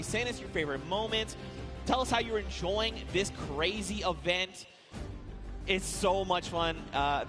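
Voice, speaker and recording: A man calling a live esports match in a large arena, excitable and shouting at peaks, his voice going hoarse. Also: high-pitched. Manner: excitable, often shouting over the crowd. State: losing his voice. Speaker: esports caster.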